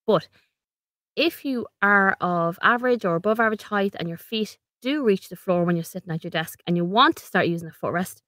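Female podcast host, Irish accent, mid-pitched, slightly snuffly from a cold, practical conversational explainer, dry close-mic sound. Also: lively intonation, brisk, fairly bright tone, no room sound.

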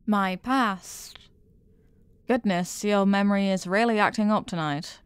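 Casting: female actor for an obsessive yandere girlfriend in ASMR audio drama, tender cooing, mid-pitched, very dry close sound. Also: unhurried, no echo, lightly acted. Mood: tender, soothing. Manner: tender, cooing, breathy sighs and pet names. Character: doting, intensely possessive yandere girlfriend. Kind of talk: intimate girlfriend ASMR roleplay.

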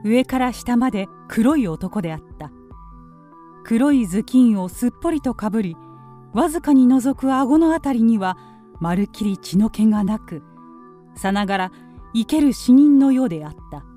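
A woman's voice: on the high side, animated, normal speed, dark tone, close to an everyday voice. A woman playing the ominous storyteller of a radio drama, in scripted dramatic narration. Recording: music behind the voice.

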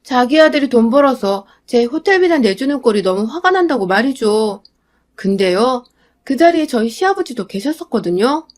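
A young adult woman reading a scripted first-person radio story in a steady, confiding way. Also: fairly high pitch, moderate pace.

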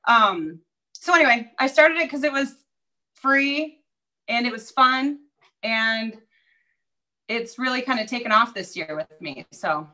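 A woman's voice: fairly high pitch, expressive, unhurried, long pauses, pushed and bright, poor audio. A woman with a Minnesota accent, a real estate agent chatting upbeat as a guest over a video call. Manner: chatty, upbeat, many fillers. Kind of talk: casual interview chat.

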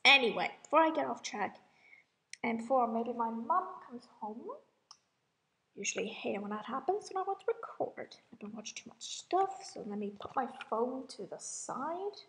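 A woman's voice: fairly high pitch, lively intonation, normal speed, a bit bright, low-quality recording. A young woman chatting casually in a rambling, self-deprecating way, as if to viewers of her own channel. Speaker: amateur cover singer and channel host.

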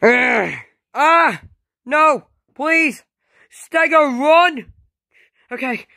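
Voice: mid-range voice, takes it slowly, fairly bright tone, dry recording. Anxious dinosaur hero for a homemade story, acted by an amateur, loyal and dramatic, in a dry recording. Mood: desperate pleading. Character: frightened, loyal dinosaur hero protecting friends.

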